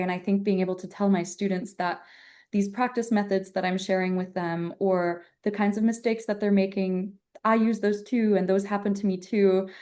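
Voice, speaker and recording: A young woman from Germany speaks as a podcast guest with a mellow, warm tone. She explains her teaching in a thoughtful, measured way, often softening points with "kind of". Her pitch sits in a middle range. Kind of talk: podcast interview.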